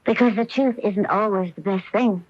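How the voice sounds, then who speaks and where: An actress playing a sweet, self-assured and determined young wife in a radio mystery drama. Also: female voice, medium pitch, normal speed, dry recording, close to an everyday voice. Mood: playful, candid. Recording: dull lo-fi AM radio broadcast.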